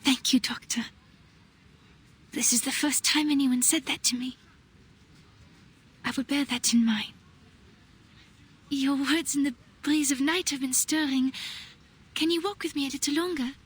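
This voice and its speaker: A woman voicing a gentle, self-doubting young lady artist in a dramatic game, with a soft, breathy, formal delivery. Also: high-pitched, fairly even intonation, a little slow, pauses often. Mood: grateful, wistful.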